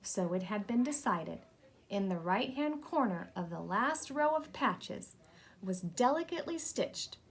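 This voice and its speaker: A woman's voice: mid-range voice, expressive, measured pace. A woman reading a children's picture book aloud as scripted story narration. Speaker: storybook reader.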